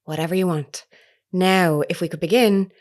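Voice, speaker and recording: An actress plays a woman reporter with a slightly low voice. She is earnest and persistent, speaking in a formal, measured, insistent way, as in a natural audio drama. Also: steady tone, darkish tone, natural voice. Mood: polite, businesslike.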